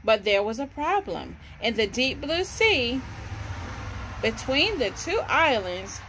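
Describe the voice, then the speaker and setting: A woman's voice: fairly high pitch, sing-song, slow pace, sharp tone, slight background noise, low-quality recording. A woman in her thirties reading a children's story aloud on a porch. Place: outdoors on a covered house porch. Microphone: camera or phone at about arm's length.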